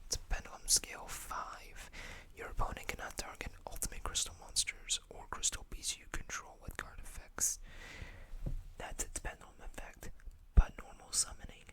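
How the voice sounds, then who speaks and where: An ASMR host whispering in an unhurried way, reading text aloud and adding small asides. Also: pushed and bright. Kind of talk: whispered ASMR card showcase.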